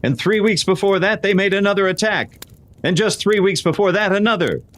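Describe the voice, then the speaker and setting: A man playing an earnest, courteous masked lawman in an old-time western radio drama, speaking in deliberate, declarative lines. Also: high-pitched, a bit bright, a little hiss or hum. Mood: grave, resolute.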